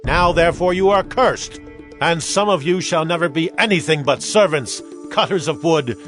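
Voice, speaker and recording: A man's voice: a little high, normal speed, a bit bright, faint music behind, lightly acted. A man playing a stern, indignant ancient military leader in a dramatized audio Bible, speaking accusingly. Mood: indignant, condemning.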